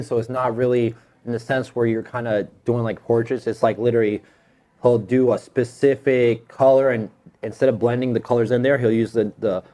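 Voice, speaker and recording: A man's voice: mid-range voice, warm tone. A man in his thirties talking casually as a podcast guest, in an informal, slangy way with some swearing. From United States. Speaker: tattoo artist, podcast guest. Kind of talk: casual conversational storytelling.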